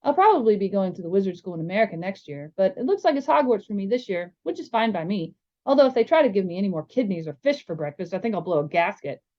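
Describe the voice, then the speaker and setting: A woman voicing a chatty, excitable young American boy in a storybook, with a breezy, rambling manner. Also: mid-range voice, fast talker, natural voice. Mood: breezy, excited. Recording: slightly dull, lo-fi sound.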